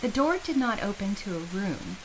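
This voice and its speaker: A woman's voice: medium pitch, sing-song, moderate pace, background noise. A woman reading scripted fantasy narration as a storyteller.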